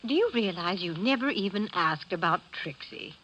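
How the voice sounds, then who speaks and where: A woman's voice: lowish voice, animated, normal speed, a bit bright. An actress playing a doting, chatty middle-aged mother in a 1940s radio drama, coaxing and fond with pet names. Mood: gently probing. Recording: muffled, noisy 1940s radio recording.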